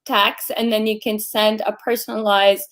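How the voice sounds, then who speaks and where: A woman's voice: medium pitch, flat delivery, moderate pace, a bit bright. A woman co-hosting a casual panel chat, asking questions and talking with many fillers like "like" and "um". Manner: lots of "like" and "um", asks questions. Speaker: co-host.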